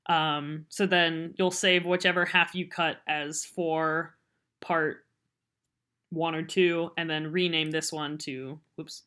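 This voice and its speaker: Low-pitched woman giving a casual tutorial. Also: sharp tone, clean tone. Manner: rambling, informal, self-deprecating. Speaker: podcast editor giving a software tutorial. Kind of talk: casual unscripted screen-recording tutorial. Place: voice-over on a computer screen recording.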